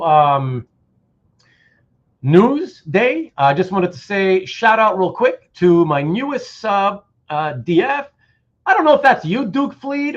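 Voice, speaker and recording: A man hosting a casual livestream chat, speaking haltingly and often repeating words and phrases. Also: fairly high pitch. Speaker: host of a live show. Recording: dull, muffled lo-fi audio.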